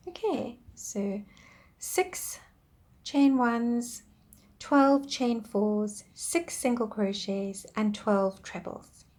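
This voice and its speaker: A woman teaching a crochet pattern step by step, counting stitches aloud, patient and soft, her voice a little worn. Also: a little high, very slow. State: losing her voice, clearing throat. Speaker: crochet instructor. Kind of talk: step-by-step craft tutorial.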